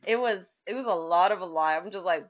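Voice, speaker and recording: A woman's voice: lowish voice, fast, muffled recording. A woman in her twenties chatting casually as a podcast co-host, telling anecdotes in a loose conversational way.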